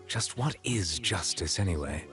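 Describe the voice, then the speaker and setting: A man playing a solemn, reserved chief justice in a video game, speaking in a formal, measured, grave manner. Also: low-pitched, expressive, fairly bright tone, faint music behind, heavily acted. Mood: solemn reflection.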